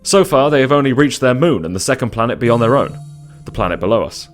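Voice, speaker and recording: A man playing an older, cynical Time Lord ambassador in an audio drama, formal and measured in manner. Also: medium pitch, lively intonation, soft background music, natural voice.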